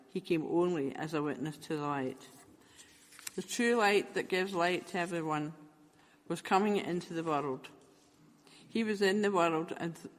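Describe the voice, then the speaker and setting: A man reading scripture aloud in a measured, careful way. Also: fairly high pitch, long reverb tail. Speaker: scripture reader.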